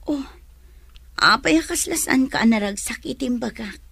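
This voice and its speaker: An actress playing an earnest, soft-spoken young pregnant woman in a radio drama. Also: a little high, expressive, measured pace, gravelly, breathy edge, dry recording, heavily acted. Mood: anxious, earnest.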